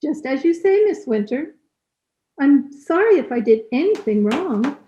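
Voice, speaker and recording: A woman's voice: sits high, big pitch swings, unhurried. A woman playing a meek, apologetic maid in an old-time radio drama, with a deferential, protesting manner. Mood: meek, hurt. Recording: noisy remote call with clicks.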